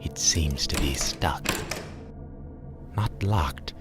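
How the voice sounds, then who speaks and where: Casting: male horror narrator, extremely low voice, ominous and dramatic, under loud scary music. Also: lively intonation. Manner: dramatic, ominous, measured phrasing. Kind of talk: scripted second-person horror narration, Halloween radio drama.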